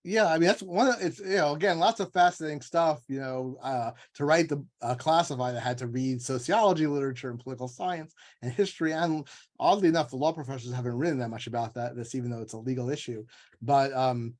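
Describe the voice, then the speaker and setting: A man's voice: mid-range voice, fast talker. A man speaking as an author and scholar in an informal panel discussion, explaining in a discursive, emphatic way and often acting out imagined quotes. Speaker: author and legal scholar guest.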